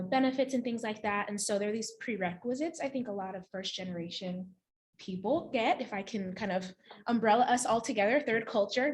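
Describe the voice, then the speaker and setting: A young woman from Uganda, speaking on a panel in a lively way and laughing often. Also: medium pitch. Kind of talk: casual panel talk.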